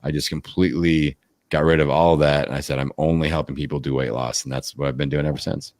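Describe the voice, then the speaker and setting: A man with a deep voice talking as a guest in a casual interview, energetic and rambling, with many fillers. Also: fast talker, dry recording, studio quality. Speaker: podcast guest, fitness coach. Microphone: video call.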